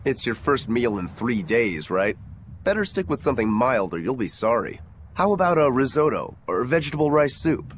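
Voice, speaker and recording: Man as a lively, brotherly crewmate. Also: medium pitch, moderate pace, slight background noise, natural voice. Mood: friendly. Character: practical, brotherly male crewmate, easygoing and caring. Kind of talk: English game voice acting.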